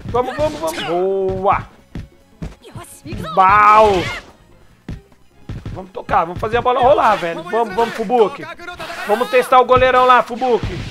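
A hoarse man with a Brazilian Portuguese accent, commenting excitedly on his own soccer game and calling out special moves. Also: very high-pitched, moderate pace, long pauses. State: hoarse from a cold. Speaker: gaming YouTuber. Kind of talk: let's play commentary. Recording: game music behind. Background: game music.

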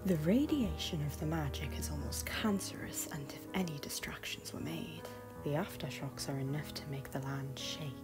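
A woman voicing a solemn, caring queen and mage in an animated fantasy, speaking formally and with measured phrasing. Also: sits low, in a whisper, over music, close to an everyday voice. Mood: sorrowful, reluctant. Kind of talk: dramatic animated fantasy dialogue.

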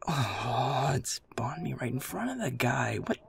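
A young man talks casually through his gaming session in a very creaky voice. His delivery is slow but swings widely in pitch, full of muttered swearing and self-deprecation. Controller clicking and background noise sit behind him. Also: big pitch swings, fairly bright tone. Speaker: gamer, audio creator. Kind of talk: casual chatter while playing a shooter game. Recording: noisy room with controller clicking. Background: game controller clicks.

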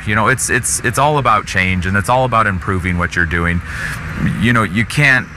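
Bright, forceful American man, lowish voice. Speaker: show host from an RV dealership. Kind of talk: casual vlog presenting. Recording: noticeable background noise.

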